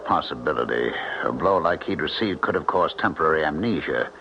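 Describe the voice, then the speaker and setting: An actor playing a calm, rational small-town doctor in a radio drama, with a deliberate, soothing manner. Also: male voice, very deep voice. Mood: rational, firm. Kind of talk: old-time radio drama acting. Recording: dull, noisy vintage radio recording.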